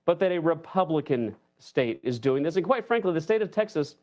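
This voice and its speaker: A man's voice: a little high, lively intonation, fairly quick, darkish tone. Indignant man on dull broadcast audio. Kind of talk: TV interview comment.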